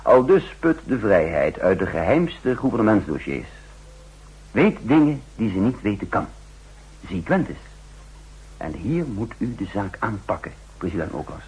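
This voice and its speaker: An actor playing a shrewd, insistent counter-espionage chief in a 1960s radio drama, speaking formally and emphatically with a husky voice. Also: male voice, on the low side, very animated, measured pace, a little mellow, exaggerated voice. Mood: insistent, persuasive. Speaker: narrator and main character. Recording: muffled old 1960s radio recording.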